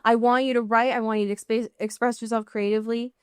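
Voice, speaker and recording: An American woman co-hosting a podcast in casual, comedic chat, riffing with mock exasperation and drawn-out emphasis. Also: a little high, little pitch movement, smooth, dry recording, studio quality. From US Pacific Northwest. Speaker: podcast co-host.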